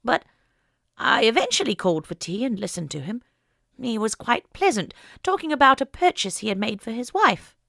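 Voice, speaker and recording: A woman playing a frail, flustered elderly lady in an audiobook, genteel and proper with a breathy, hesitant manner. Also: fairly high pitch, very animated, moderate pace, a bit bright, no room sound, studio quality, pitched above the performer's own voice, full character voice. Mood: prim, recollecting. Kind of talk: audiobook character acting.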